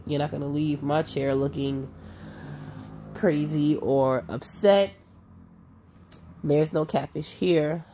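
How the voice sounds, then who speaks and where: A sassy woman in her thirties, nasal from a cold, narrating her own makeup tutorial. Her voice sits low and has a warm, relaxed tone. She speaks emphatically and talks to viewers like a close friend. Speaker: makeup artist.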